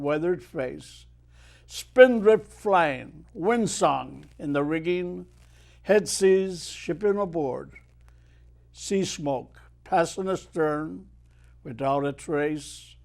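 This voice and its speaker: An elderly Irish man with a fairly high voice speaks slowly and with care. He tells stories in an unhurried way, then recites verse with swelling emphasis. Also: vocal fry, darkish tone. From Ireland. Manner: measured storyteller who declaims verse with swelling emphasis. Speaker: guest, retired merchant mariner and poet. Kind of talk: unhurried storytelling and poetry recitation.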